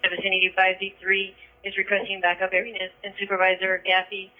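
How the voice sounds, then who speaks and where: Man, police dispatcher, high flat voice, clipped radio calls, narrow tinny scanner audio. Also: a little fast.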